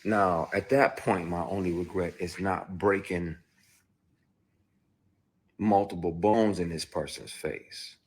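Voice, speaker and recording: Deep husky dude, blunt and cussing, just telling his story straight. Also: steady tone, slow pace, long pauses. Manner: blunt, profane, emphatic. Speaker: actor. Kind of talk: candid personal storytelling.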